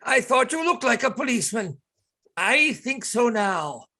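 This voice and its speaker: An actor performs an old anarchist professor in a radio play. He is probing and deliberate, his voice thin-edged and bright. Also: mid-range voice, sing-song, full character voice. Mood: probing, suspicious. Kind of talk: radio play read aloud.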